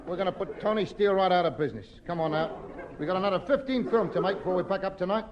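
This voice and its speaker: An actor playing a brisk, bossy film director in 1950s radio comedy style. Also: male voice, sits high, expressive. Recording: dull, very noisy vintage radio recording.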